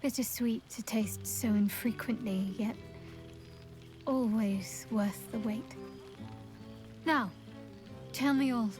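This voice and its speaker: A devout dark priestess from a video game, commanding yet wistful. Her voice sits fairly high, and she speaks formally and intimately, with measured, wry poise. Faint music plays behind her. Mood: coy, intimate. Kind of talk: video game character dialogue. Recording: game dialogue over background music.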